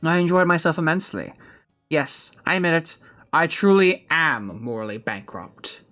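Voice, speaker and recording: A man voicing an aged gentleman villain from a game story, gleefully wicked and sentimental, in a pompous theatrical manner. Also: a little high, animated, moderate pace, faint music behind. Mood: gleeful, smug. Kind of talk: dramatic reading of game story dialogue.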